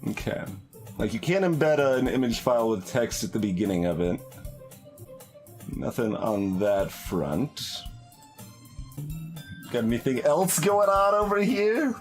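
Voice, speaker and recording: A man's voice: medium pitch, sing-song, unhurried, long pauses. A man giving casual live commentary as he plays a game, hesitantly thinking aloud. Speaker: streamer playing a game. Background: game music.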